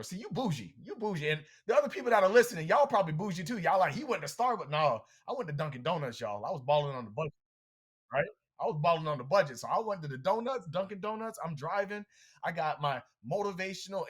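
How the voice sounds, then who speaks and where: A man with a slightly high voice gives an upbeat motivational talk as a podcast guest. He tells stories with lots of energy and speaks straight to his listeners. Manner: animated, energetic, addresses listeners directly.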